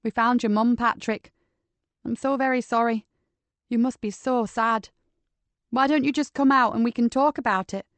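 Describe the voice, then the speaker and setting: A woman's voice: a little high, normal speed, pauses often, no echo, lightly acted. A woman voicing a female police inspector, firm but coaxing, in an audiobook. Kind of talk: audiobook character voice.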